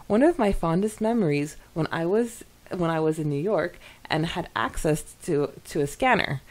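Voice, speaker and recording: A woman co-hosting a podcast, chatting casually and reading comments aloud in an enthusiastic, halting way with repeated starts. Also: on the low side, expressive. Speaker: podcast co-host.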